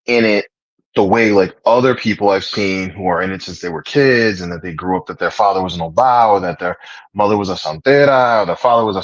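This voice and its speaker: Low-voiced man in casual rambling talk. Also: no room sound, lo-fi sound. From Puerto Rican heritage, raised speaking English. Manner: rambling, with many fillers and restarts. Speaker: podcast host. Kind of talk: casual conversation.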